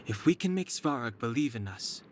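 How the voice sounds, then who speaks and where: An actor voicing a calm, analytical young man in a video game, measured and dry. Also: medium pitch, close to an everyday voice. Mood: reasoning. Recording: game audio with clear music behind.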